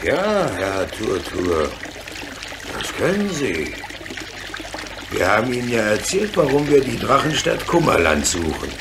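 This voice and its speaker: An actor playing a practical, good-natured train driver in a radio play, deliberate and plain-spoken. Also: male voice, medium pitch, wildly swooping pitch, background noise. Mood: calm, curious.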